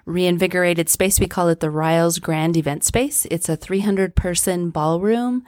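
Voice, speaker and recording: Low-voiced woman, dry close mic. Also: moderate pace, a little mellow, no room sound. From Colorado, US. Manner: friendly, informative, some fillers. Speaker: library executive director, podcast guest. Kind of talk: casual interview answers.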